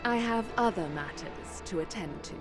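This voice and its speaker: A woman's voice: mid-range voice, expressive, normal speed, over music, close to an everyday voice. An actress playing a haughty, scheming noblewoman in a video game, imperious and condescending, drawing out her words. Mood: smug, dismissive. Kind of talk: video game cutscene acting.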